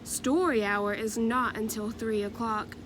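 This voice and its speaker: A strict lady librarian, as a woman reader puts her on, stern and commanding in a raised, fairly high voice. She is a storybook stickler for rules, speaking slowly over a noisy recording. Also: steady tone, natural voice. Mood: firm, accepting.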